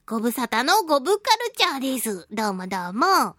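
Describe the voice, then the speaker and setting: A woman with a high voice hosts a solo radio talk show in a close, dry sound. She swings widely in pitch as she reads out mail and reacts to it. Her manner is chatty, giggly and playfully over-the-top. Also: big pitch swings, fairly quick, fairly bright tone, no room sound. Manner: playful, gushing, with exaggerated pleading.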